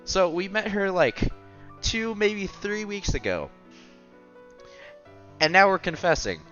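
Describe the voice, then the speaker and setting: A man's voice: sits high, sing-song, slow, a bit bright, muffled recording. A man streaming a visual novel, reading the text aloud with casual commentary and stumbling over words now and then. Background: game music.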